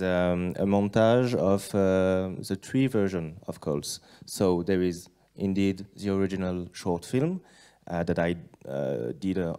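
A man's voice: sits low, dark tone, clear, resonant tone. A young man with a French accent, a guest director explaining his work hesitantly on stage. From France. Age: young adult. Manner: hesitant phrasing. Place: cinema stage, indoors. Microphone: handheld microphone.

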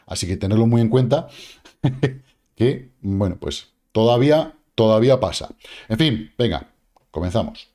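Spanish guy in his 40s, warm lowish voice, casual market chat to camera, jokes around with viewers. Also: lively intonation, unhurried. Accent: Spain Spanish. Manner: chatty, jokey, addresses viewers directly with asides and repeated "vale". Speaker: crypto market commentator. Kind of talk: casual explainer talking to camera. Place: small indoor studio with purple lighting.

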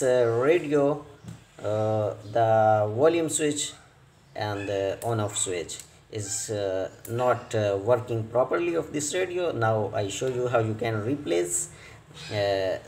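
A man explains a repair step by step as a non-native English speaker, in an unhurried, hesitant way with short broken phrases. His voice sits at an ordinary pitch. The recording is roomy and echoey, with clear noise behind him. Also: a little mellow. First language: not English. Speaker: repair tutorial presenter. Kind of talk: hands-on repair tutorial narration.

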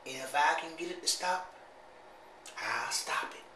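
A man's voice: on the high side, unhurried, bright, forceful tone. A middle-aged man putting on a rambling, simple-minded country sibling, drawling and teasing in a comic monologue to camera in a small home bathroom. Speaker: comedy vlogger. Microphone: handheld camera at arm's length. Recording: echoey bathroom, faint hiss.

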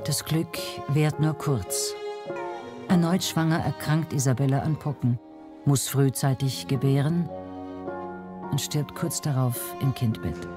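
A woman reading scripted documentary narration in a measured manner with dramatic pauses. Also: very deep voice, steady tone. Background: faint music.

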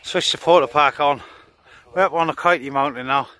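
A man's voice: fairly high pitch, moderate pace, a crowd in the background. A man chatting casually as a radio hobbyist recording a vlog outdoors on a handheld device. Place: outdoors at night on a hillside. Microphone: handheld camera or phone.

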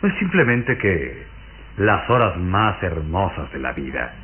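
Sinister courtly count, insinuating, swooping low delivery, muffled old radio serial sound. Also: male voice, sing-song, unhurried, husky, full character voice. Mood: smooth, courtly. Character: pale suave count, intense, scheming suitor.

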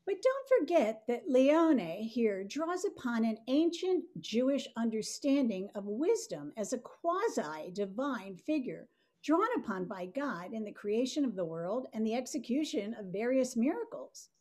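A woman's voice: a little high, big pitch swings, a little slow, mellow. A woman in her sixties reading scripted, scholarly explanatory commentary on a video call. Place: indoor home room. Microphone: video call, computer mic.

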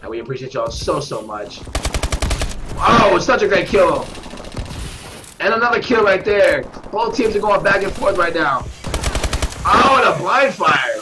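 A man with a stuffed-up nose, commentating live at a gaming tournament, getting excitable on big kills. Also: sits high, measured pace, long pauses. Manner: excitable, shout-outs to viewers, bursts of hype on kills. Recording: noisy with game gunfire behind.

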